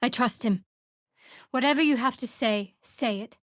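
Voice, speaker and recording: A guarded young priestess of a dark goddess, played by a woman for a fantasy video game. Her voice sits fairly high and is recorded close and dry. She speaks in clipped, measured phrases with wry, sardonic restraint. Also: no echo, natural voice. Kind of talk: video game voice acting, companion dialogue and battle barks.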